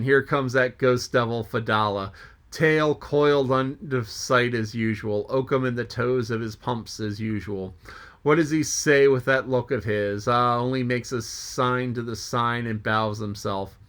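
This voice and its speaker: A man's voice: mid-range voice, unhurried, a bit bright, close to an everyday voice. Guy doing a joking old-time sailor mate, rambling and chuckling to himself. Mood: musing. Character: jolly joking middle-aged male sea mate.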